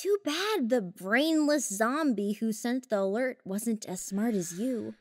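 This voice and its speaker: Woman playing an eager, high-voiced teen monster girl. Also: lively intonation, slow pace, background noise. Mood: curious. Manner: youthful, inquisitive. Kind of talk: audio drama acting.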